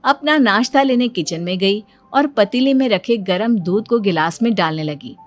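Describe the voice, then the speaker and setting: A woman's voice: mid-range voice, moderate pace. A woman giving scripted narration for a cartoon family story as its storyteller. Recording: music bed behind the voice.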